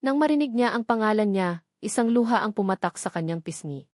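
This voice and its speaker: A woman's voice: mid-range voice, expressive, brisk, darkish tone, no room sound, studio quality. A woman reading a scripted, dramatic story as a narrator.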